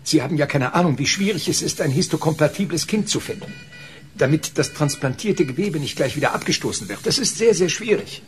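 A man's voice: fairly high pitch, animated, brisk, soft background music, lightly acted. An actor playing a desperate, self-justifying, cowardly father in a radio drama, agitated and evasive, stammering as he talks. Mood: desperate, pleading.

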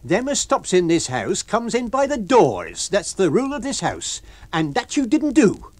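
A man's voice: high-pitched, very animated, moderate pace, no room sound, pitched above the performer's own voice. A man reading a story and voicing a middle-aged country landlady who scolds indignantly in rustic dialect.

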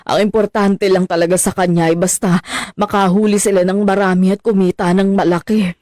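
A woman's voice: medium pitch, little pitch movement, a little fast, a little mellow, no room sound, studio quality, close to an everyday voice. A woman acting a troubled, anxious middle-aged mother in a radio drama.

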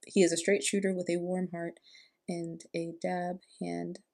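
A woman's voice: lowish voice, level tone, unhurried, creaky, a little mellow. A young adult woman giving casual reaction commentary as a video host, indoors at home. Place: small room at home, indoors. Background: game trailer music.

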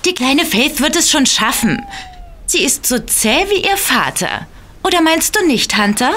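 A woman's voice: high voice, sing-song, a little slow, fairly bright tone, a little hiss or hum, exaggerated voice. An actress playing a mocking, bloodthirsty female vampire in an audio drama, with a taunting, playful delivery. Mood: sly, teasing.

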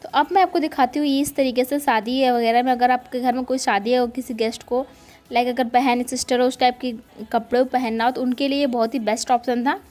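Lady doing a shopping vlog, really high voice, chatty and gushing. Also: fairly even intonation, a little fast, a little mellow. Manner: gushing, repetitive praise. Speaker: shopping vlogger. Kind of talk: casual vlog voice-over narration.

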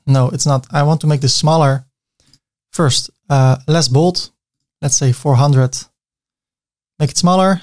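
A man in his thirties with a clear Dutch accent talks through building a website step by step. His voice sits at a middle pitch, and he keeps it chatty with small jokes at his own expense. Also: a little mellow. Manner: chatty, self-mocking asides. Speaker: web design tutor. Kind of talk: screen-recorded software tutorial.

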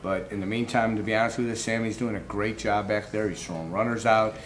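Low-voiced middle-aged man, noisy room. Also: steady tone, brisk. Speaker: baseball team manager. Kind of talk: post-game press conference answers. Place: indoor press backdrop room. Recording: clearly noisy room background.

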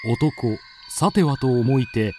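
A man tells an old folk tale in solemn, classical narration. His voice is warm and mellow, and he speaks at an unhurried pace. Soft music plays beneath him. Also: medium pitch, expressive. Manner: slow, solemn storytelling.

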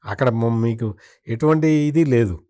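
A man's voice: medium pitch, measured pace, warm tone. A man speaking as a political commentator in an interview, in a critical, opinionated way with emphatic repetition.

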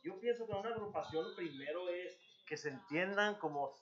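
A man's voice: high-pitched, animated, a little fast, a bit bright, highs cut off. A man hosting a music talk show in a TV studio, chatty and joking as he hypes up the audience and questions his guests. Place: TV studio set, indoors.

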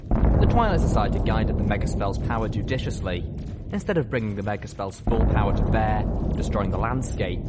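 A man's voice: on the low side, heavy background noise. A man reading a dramatic scripted story as an audiobook narrator. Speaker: audiobook reader.

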